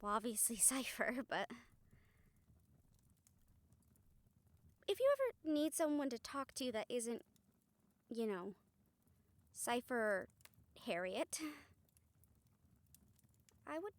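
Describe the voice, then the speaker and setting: Woman acting gentle, high-voiced supportive friend. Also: very animated, slow pace, long pauses, no room sound. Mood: tentative, caring. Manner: soft, hesitant, reassuring, earnest. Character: gentle, supportive female rehabilitator comforting a friend. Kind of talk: intimate audio roleplay acting.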